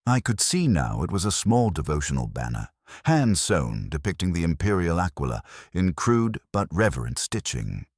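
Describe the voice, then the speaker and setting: A man reading a scripted dramatic story in the first person, solemn and measured, with clipped phrasing. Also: sits low, very animated, breathy edge, no room sound, clean studio sound. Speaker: narrator.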